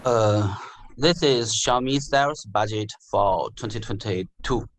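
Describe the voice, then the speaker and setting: Brief: male student from China, very slow and halting, full of uh fillers and restarts, reading figures on a video call. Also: on the low side. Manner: halting, many pauses and uh fillers, repeats words. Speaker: student presenter. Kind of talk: class group presentation.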